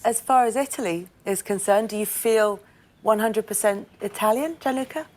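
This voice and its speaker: A woman hosting a lively panel conversation and presenting results, with a teasing manner and frequent laughter. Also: mid-range voice, normal speed. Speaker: host presenting DNA results.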